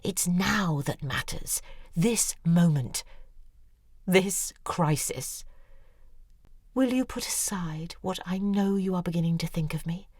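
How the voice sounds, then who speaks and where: A woman's voice: lowish voice, slow pace, a little mellow, breathy edge, no room sound. A woman, playing a neurotic, desperate novelist who speaks confessionally and often drops into a whisper. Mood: pleading. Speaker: writer confiding in her ghostwriter. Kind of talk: audio drama acting. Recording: dry studio voice with faint music.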